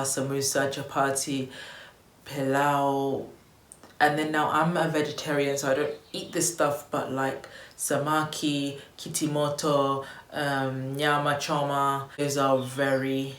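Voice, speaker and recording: Young woman from Tanzania, casual upbeat vlogger, low-pitched, slight room echo. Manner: chatty and upbeat, loose with fillers like "um" and "you know". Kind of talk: casual vlog chat to camera. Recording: echoey room with faint noise.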